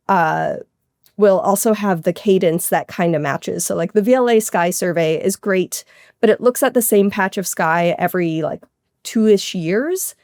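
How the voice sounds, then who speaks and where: Low-pitched woman scientist, keen and informal. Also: a little mellow, dry recording, studio quality. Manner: keen, informal, technical with frequent hedging asides. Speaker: interview guest, research astronomer. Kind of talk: enthusiastic science interview, conversational explaining.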